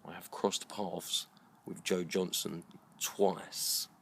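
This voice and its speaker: Low, gravelly middle-aged British man. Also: level tone, a bit bright, a little hiss or hum. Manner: earnest, deliberate, halting phrasing. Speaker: video blogger. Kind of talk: slow reflective monologue to camera.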